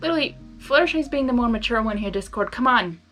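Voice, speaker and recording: A woman reading a story aloud in an expressive manner, breaking into casual, exasperated reactions to the characters. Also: a little high, fast talker, faint music behind. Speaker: fan fiction reader.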